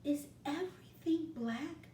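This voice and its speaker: A woman voicing an anxious little boy who asks pleading questions in a high voice, in a roomy recording from a distance. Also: slow, mellow, a little hiss or hum. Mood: worried. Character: small boy, worried and unsure.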